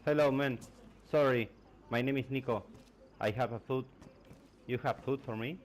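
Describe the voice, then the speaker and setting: A man's voice: mid-range voice, moderate pace, a little hiss or hum. A man from Argentina with an Argentine Spanish accent, a new player talking over in-game voice chat. His first language is Spanish, and he speaks basic English in short, repeated phrases.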